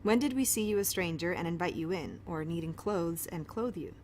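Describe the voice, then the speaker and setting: A woman's voice: fairly low pitch, brisk, a little mellow, slight background noise, lightly acted. An actress playing a friendly, awkward woman pediatrician in an audio drama, apologetic and often interrupting herself. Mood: explaining eagerly. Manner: chatty, awkward, self-interrupting. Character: friendly, flustered, apologetic woman pediatrician who shelters fugitives. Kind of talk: naturalistic audio drama dialogue.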